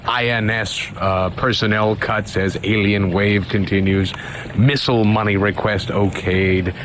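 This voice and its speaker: Low-voiced American radio sidekick on noisy tape. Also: male voice, steady tone, unhurried, a bit bright. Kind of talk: casual on-air banter. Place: radio studio. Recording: noisy 1970s radio cassette aircheck.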